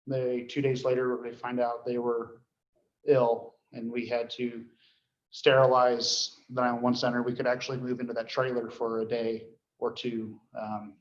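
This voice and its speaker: Male, mid-pitched, informal meeting reporter with halting delivery, dull echoey room sound. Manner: halting, frequent throat clearing. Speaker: dispatch director reporting to board.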